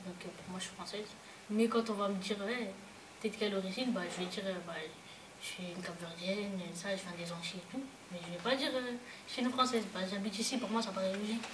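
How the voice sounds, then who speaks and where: A woman's voice: medium pitch, steady tone, fast, background noise. A teenage girl from France in a casual classroom debate, hesitant with many fillers and restarts, heard in an echoey room from a distance. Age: teens. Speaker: student in a group discussion.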